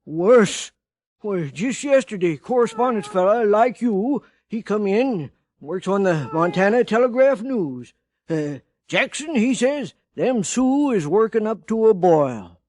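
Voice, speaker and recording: An actor playing a talkative, folksy frontier bartender with a drawl, in an old radio drama. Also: male voice, sits high, expressive, a little slow, darkish tone. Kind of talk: 1950s radio drama acting. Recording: old radio broadcast recording.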